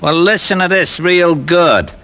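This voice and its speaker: A man's voice: fairly high pitch, a little slow, bright, forceful tone, a little hiss or hum. A man playing a dim American henchman in an old radio crime drama, tough and tauntingly mock-polite. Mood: taunting menace. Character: dim, bullying male henchman of a racketeer.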